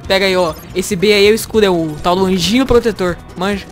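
A young man with a Brazilian accent, casually commentating a video game, joking, excitable and swearing a lot. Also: sits high, fast talker. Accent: Brazilian Portuguese. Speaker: host. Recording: game music behind.

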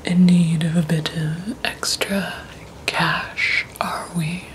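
A woman in her thirties doing soft-spoken ASMR, playing a mysterious, polite vampire bank teller in a hushed, deliberate manner, close to the microphone. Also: on the low side, little pitch movement, slight background noise, rough recording. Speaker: ASMR roleplayer.

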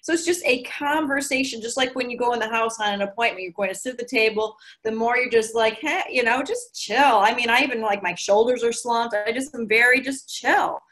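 Animated woman coaching on a video call. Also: fairly high pitch, a little fast, hardly any pauses. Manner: chatty and animated, gestures a lot. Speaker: guest recruiter. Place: home living room. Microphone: video call laptop mic.

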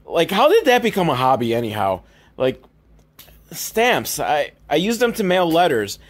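A man's voice: on the high side, wildly swooping pitch, moderate pace. A man hosting a comedy podcast, recapping films in a casual, rambling, sweary, mock-exasperated way. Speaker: podcast host.

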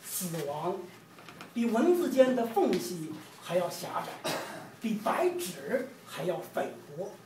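A middle-aged man from China reads his own poetry in a measured, emphatic, declamatory way. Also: sits high, sing-song. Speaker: poet reading own work. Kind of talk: poetry reading. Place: room with large windows, indoors. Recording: distant roomy event recording, background noise.

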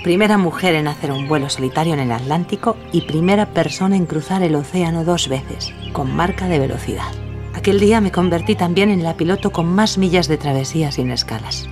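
An actress plays a proud, adventurous woman pilot in an audio drama. Her voice sits low, restless yet affectionate. Soft music runs underneath. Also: a little fast, natural voice. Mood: proud, reflective. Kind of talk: audio drama acting and narration.

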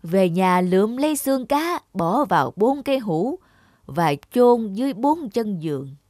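A woman voicing a kindly old male fairy sage with a gentle, measured, consoling manner. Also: medium pitch, big pitch swings.

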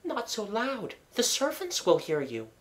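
A narrator putting on a soft, high, pleading mother. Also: huge pitch swings, slow pace, full character voice. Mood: entreating. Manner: hushed, entreating.

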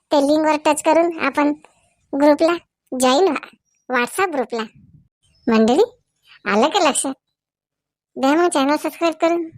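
A high, dry-recorded voice for a comedy cartoon housewife , played by a woman. She is bossy and chatty, with a Varhadi Marathi accent. She speaks emphatically, as if to a crowd of neighbours. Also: no room sound, lightly acted. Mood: grateful, chatty. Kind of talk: cartoon comedy dialogue and announcements.

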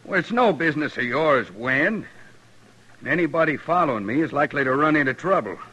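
An actor playing a curt, defiant outlaw gambler with folksy frontier speech in a 1950s radio western. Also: male voice, fairly high pitch, lively intonation, fairly quick, sharp tone, husky, full character voice. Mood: threatening. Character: surly, defiant outlaw gambler of the Old West. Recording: dull vintage 1950s radio recording.

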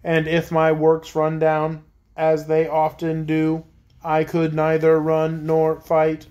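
A man voicing a timid clockwork man soldier in a storybook reading, speaking slowly and mechanically. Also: fairly high pitch, completely flat delivery, darkish tone. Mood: stiff, reluctant. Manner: slow, measured, mechanical.

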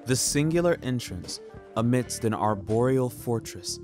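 A man reading scripted first-person fiction in a deliberate, ornate and measured storytelling manner. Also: mid-range voice, lively intonation. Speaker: narrator of a serial audio story. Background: music.

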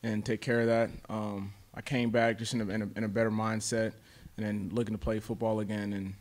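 Young american guy, football player at a presser, voice a bit low. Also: flat delivery, brisk. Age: 20s. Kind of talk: press conference answers. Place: indoor press conference room. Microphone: table microphone.

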